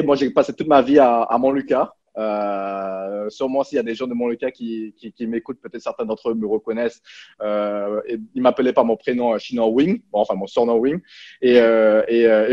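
A man in his thirties from French Guiana talking casually and haltingly as an interview guest on a video call. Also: medium pitch, warm tone. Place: small plain room indoors. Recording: dull, lo-fi video call audio.

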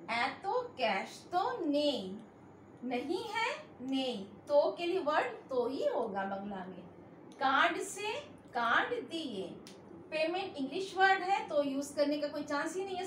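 A middle-aged woman teaching a language lesson at a whiteboard, slowly repeating each phrase for her learners. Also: high-pitched, a bit bright, roomy sound, slight background noise, low-quality recording. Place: indoor room in front of a whiteboard.

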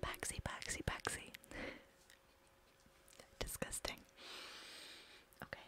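Slow whispering British woman. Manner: soft whisper, slow deliberate repetition of words. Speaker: ASMR creator. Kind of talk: ASMR whispering.

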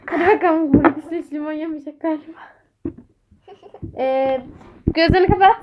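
A woman's voice: sits high, moderate pace, dull audio, noisy background. A woman chatting casually as she hosts a homemade quiz game and speaks to viewers. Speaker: vlog host quizzing a child.

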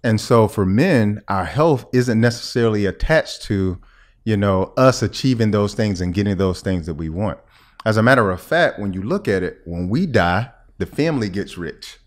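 A man's voice: lowish voice, lively intonation, moderate pace. A middle-aged American man speaking conversationally as a podcast guest, explaining his points and checking that the listener follows. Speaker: podcast guest, health expert. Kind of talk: conversational interview. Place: small indoor room at a table. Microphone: podcast microphone on boom arm.

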